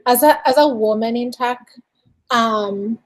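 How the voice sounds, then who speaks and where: A woman's voice: fairly high pitch, unhurried. Young woman interviewer with a foreign accent. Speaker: interviewer, show host. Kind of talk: casual interview chat.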